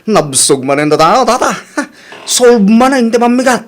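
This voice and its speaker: A man with an Ilocano accent playing a loud, chummy freeloading neighbour in a comedy radio drama. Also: very high-pitched, sing-song, normal speed. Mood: ingratiating.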